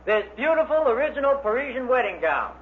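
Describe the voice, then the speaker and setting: A man with a high voice makes scripted show announcements in a vintage style. The old recording sounds muffled and lo-fi. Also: big pitch swings, brisk. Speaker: broadcast announcer.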